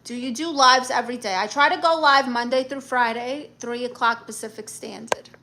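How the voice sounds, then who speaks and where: A woman from Brooklyn with a thick New York accent, bantering through viewer comments on her live stream. Her voice is bright and sits a little high, and she delivers everything with brash, joking sass. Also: normal speed, a little hiss or hum. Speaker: livestream host. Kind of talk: casual live chat reading comments.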